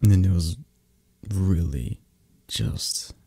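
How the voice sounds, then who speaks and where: Guy doing a yandere mafia boss boyfriend asmr, slow breathy husky talk, super close and dry, possessive but tender. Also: low-pitched, animated, no room sound, exaggerated voice. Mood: cold, possessive, jealous.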